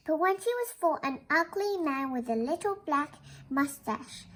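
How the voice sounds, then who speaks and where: High-pitched young girl reading a storybook. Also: rough recording. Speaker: reader of a children's book. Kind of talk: read-aloud children's storybook narration. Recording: clear background noise.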